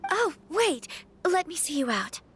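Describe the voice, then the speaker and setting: Casting: young noblewoman for a fantasy game, high-pitched, courteous, conflicted and hesitant. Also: sing-song, a little slow, a bit bright, soft background music, exaggerated voice. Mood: grateful. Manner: polite, earnest, hesitant with sighs. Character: troubled young noblewoman, polite and conflicted about her wedding. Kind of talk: video game voice acting, scripted dialogue.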